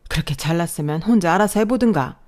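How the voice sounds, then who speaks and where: A woman voicing a gruff, exasperated older father-in-law who scolds bluntly and loudly. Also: lowish voice, fast talker, natural voice. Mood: angry scolding.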